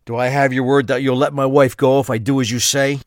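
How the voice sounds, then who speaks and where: A man's voice: mid-range voice, little pitch movement, brisk, no echo, clean studio sound, close to an everyday voice. A man playing a guarded, determined husband in a crime audio drama, speaking with intensity. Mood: worried. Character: capable, determined husband of a kidnapped wife.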